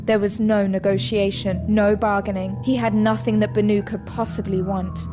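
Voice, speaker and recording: Lady narrator doing dramatic audio drama story, medium pitch, music behind her. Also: little pitch movement, normal speed.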